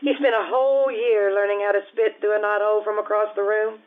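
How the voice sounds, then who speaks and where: An actress playing a blunt, warm-hearted Italian innkeeper in an old-time radio drama, earthy and frank in manner. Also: female voice, medium pitch, flat delivery, a little fast, phone-quality sound, close to an everyday voice. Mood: frank, teasing.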